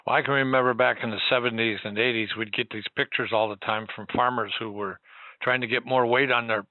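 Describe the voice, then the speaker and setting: A man's voice: mid-range voice, steady tone, fairly quick, no room sound, phone-quality sound. A man in his eighties talking plainly in a conversational interview, sharing recollections and putting questions. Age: 80s.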